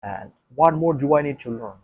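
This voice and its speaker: A man's voice: mid-range voice, lively intonation, normal speed, a little mellow, husky, highs cut off. A non-native English-speaking man talking alone to a camera about learning the language, thinking aloud with many restarts and repeated phrases. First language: not English. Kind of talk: casual solo talk to camera.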